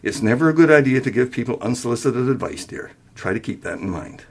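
A man reading a story aloud, voicing an older mother who is sweet and dreamy yet sharp-tongued. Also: mid-range voice, lively intonation, very fast, slightly rough, voice pushed up, full character voice. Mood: gentle reproof. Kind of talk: acted dialogue in a story reading.

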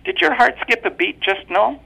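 Guy doing a cool controlling wife, flat even tone, kinda mocking, husky and bright, muffled radio sound. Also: extremely high pitch, moderate pace, pitched above the performer's own voice, heavily acted.

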